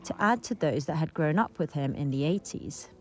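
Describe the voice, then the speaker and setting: A woman's voice: sits low, expressive, moderate pace, darkish tone. A woman with a British accent reading a scripted news report as a voice-over. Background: faint music.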